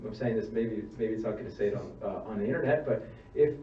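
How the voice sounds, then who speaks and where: A man's voice: lowish voice, fast, mellow. A man who works as a funeral director, answering audience questions at a seminar in a plain-spoken way, explaining step by step and restarting his sentences. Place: church hall seminar. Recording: distant mic, roomy hall, dull sound, faint hum.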